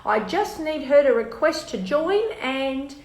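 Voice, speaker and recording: A woman's voice: high voice, very animated, a little slow, echoey room. A woman with an Australian accent hosting a casual live-stream interview, chatty and easygoing, with lots of ums. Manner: chatty, filler-heavy, easygoing. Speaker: host.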